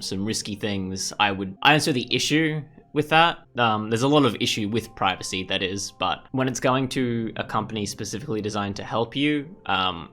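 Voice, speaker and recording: Male walkthrough host, fairly deep and sharp-edged, casual, thinking out loud as he goes. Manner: rambling, thinking aloud. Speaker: gaming video host. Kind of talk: casual game walkthrough commentary.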